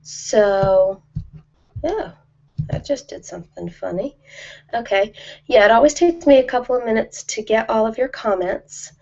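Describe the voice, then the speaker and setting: A middle-aged woman hosting a casual live chat with her viewers, informal and rambling with hesitant pauses. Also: a little high, vocal fry, a little mellow, lo-fi sound. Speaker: ASMR host.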